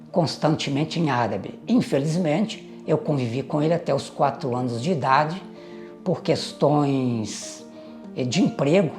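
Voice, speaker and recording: An older man, recalling his family history in a measured, reflective way with pauses and hesitant fillers. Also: on the high side, darkish tone. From Minas Gerais, Brazil. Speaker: interviewee recounting family history. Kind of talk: reminiscing oral history.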